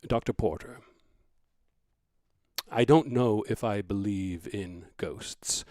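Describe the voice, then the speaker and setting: Audio drama: solemn, solitary male scholar of faith, low voice, formal letter delivery, dry sound. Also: darkish tone, dry recording. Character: earnest, grieving, solitary male divinity scholar writing a letter.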